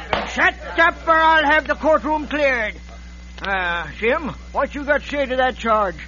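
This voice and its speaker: A crooked old frontier judge in a radio western, blustering and grumbling. His voice is extremely high and slow. The recording is old and noisy. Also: highs cut off. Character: blustery, folksy, crooked Old West judge, older man. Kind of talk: 1940s-50s radio western acting.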